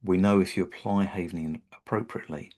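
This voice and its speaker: A British man with a very low voice leads an online session. He talks in a gentle, reassuring way and often pauses on ums or repeats a word before carrying on. He sounds like he is on a video call from a computer mic. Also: steady tone. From Britain. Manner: gentle, reassuring, with frequent fillers and repeated words. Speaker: practitioner leading a webinar. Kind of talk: online webinar teaching.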